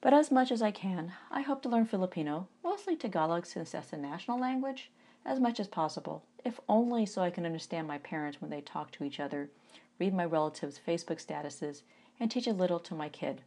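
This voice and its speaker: A woman's voice: lowish voice, fairly quick. Woman in her forties reading her own memoir. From United States. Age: 40s. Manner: conversational, wry asides, animated emphasis. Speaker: author.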